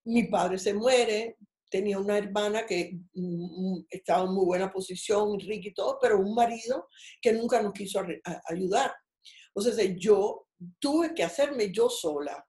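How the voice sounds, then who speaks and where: A woman's voice: mid-range voice, normal speed, mellow, echoey room. A Cuban-born older woman, a guest on a call, telling her life story in a rambling, personal way with many restarts. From Cuba. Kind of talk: casual interview over a call.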